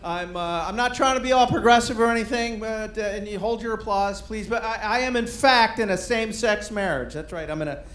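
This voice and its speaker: A middle-aged man doing a stand-up comedy set on a club stage, deadpan and self-deprecating, with rambling asides. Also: high-pitched, brisk, hardly any pauses. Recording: roomy live club with crowd noise. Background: audience murmur and laughter.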